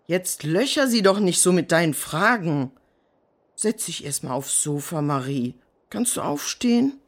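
A woman's voice: on the low side, big pitch swings, unhurried, exaggerated voice. A woman playing a caring, fussing adult looking after children in an audio drama. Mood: concerned.

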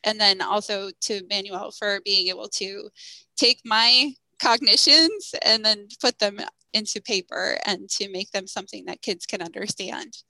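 A woman's voice: mid-range voice, a little slow, vocal fry, sharp tone, no room sound, rough recording. A woman guest, a school counselor and author, answering interview questions in a friendly, thoughtful way with frequent ums.

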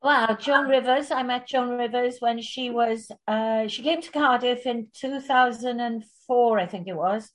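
A woman from Wales in her sixties, a performer and coach, telling stories as an interview guest with a lively manner and some hesitant fillers. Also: a little high, a little slow, few pauses. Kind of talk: conversational interview.

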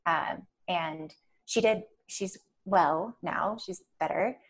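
A soft-spoken woman, a podcast guest chatting casually and rambling with many fillers. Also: on the low side, takes it slowly. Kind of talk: casual chat.

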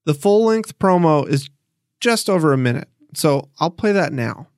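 A man's voice: fairly high pitch, lively intonation, normal speed, no room sound. Dry, close-sounding male podcast host. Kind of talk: scripted podcast narration with conversational interview.

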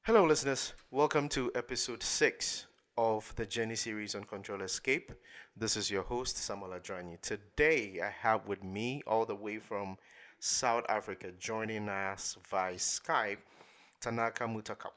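A man's voice: on the low side, normal speed, a bit bright. A man hosting a podcast, welcoming his guest in a formal, courteous way with frequent fillers and restarts. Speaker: podcast host. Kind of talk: podcast interview.

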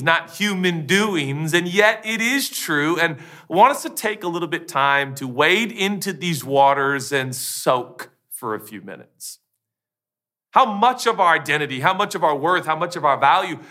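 Animated preacher with a bright voice. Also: male voice, a little high. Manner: animated, emphatic, quick asides and repetition. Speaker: pastor. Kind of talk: sermon. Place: church stage with screen.

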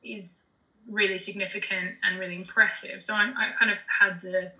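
A woman with an Australian accent talks casually as an interview guest, with plenty of fillers and restarts. Her voice is very bright and forward. The room around her rings with noticeable echo. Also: medium pitch, steady tone, normal speed. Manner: chatty, lots of fillers and restarts. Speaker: podcast guest, general manager.

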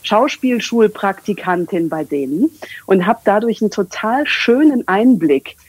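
A woman's voice: mid-range voice, animated, measured pace, slightly rough, no room sound, poor audio. Chatty German woman coach, dry sound. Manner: chatty, rambling, many fillers. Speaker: communication coach and English trainer. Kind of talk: casual interview chat.

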